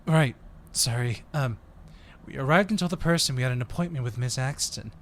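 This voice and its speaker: A man's voice: mid-range voice, fairly quick, fairly bright tone, a little hiss or hum, close to an everyday voice. A man playing an eager, friendly undercover agent in an audio roleplay, talking in a polite, chatty way. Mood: earnest, polite.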